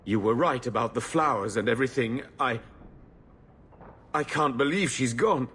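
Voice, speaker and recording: A man playing a distraught, bitter uncle in a fantasy video game, with a rough voice and forceful, emotional delivery. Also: medium pitch, animated, normal speed. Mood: grief-stricken. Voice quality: raspy, rough. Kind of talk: dramatic video game dialogue. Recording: reverberant hall sound.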